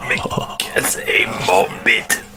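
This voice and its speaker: A man playing a languid, decadent libertine who often drops into a breathy whisper. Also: very slow, sharp tone, soft background music, exaggerated voice. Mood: languid, intimate. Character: languid, decadent French-speaking libertine, low and slow.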